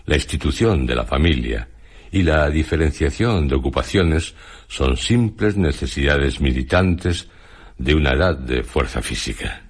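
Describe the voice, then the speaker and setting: An actor playing a reflective, grave male time traveller, in a measured, solemn radio monologue. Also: very deep voice, expressive, breathy edge, exaggerated voice. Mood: reflective, explanatory. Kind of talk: dramatized literary monologue in a radio programme.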